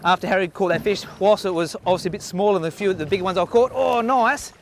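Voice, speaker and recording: A man's voice: high voice, fast. Australian man hosting fishing on a boat. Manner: chatty, explains tips to camera. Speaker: fishing show host. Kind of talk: casual on-water fishing commentary. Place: small boat out on open sea. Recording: faint boat and sea noise.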